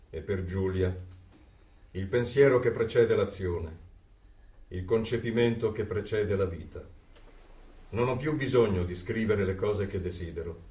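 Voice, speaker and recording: An actor playing a solemn, fatalistic resistance man, speaking in a grave, measured way in an Italian radio drama. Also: lowish voice, darkish tone, lightly acted. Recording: very echoey, distant, music behind.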